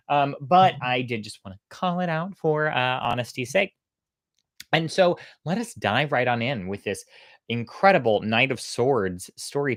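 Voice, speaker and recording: Storytime host, chatty and thoughtful, voice goes up and down a lot, really dry close sound, expressive narrating. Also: mid-range voice, no room sound. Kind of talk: casual explaining and storybook narration.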